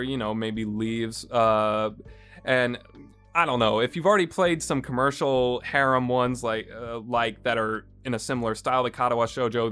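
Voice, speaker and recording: A man's voice: mid-range voice, fairly bright tone. A man hosting a casual review podcast, talking in a rambling way with frequent fillers. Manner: rambling, many "uh" and "you know" fillers. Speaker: podcast host reviewing games.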